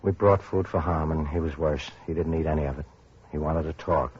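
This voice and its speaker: A man's voice: very deep voice, a little fast, a little mellow, husky. An actor playing a sober, caring survivor who narrates his hardship plainly in an old-time radio drama. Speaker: narrator and character. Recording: dull vintage radio recording, faint music.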